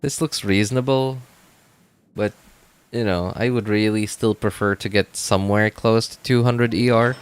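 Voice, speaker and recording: A man's voice: lowish voice, steady tone, moderate pace, clear, resonant tone. A man chatting casually on a game livestream, thinking aloud in a rambling way and often repeating himself. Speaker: game streamer. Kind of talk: casual livestream chat while playing.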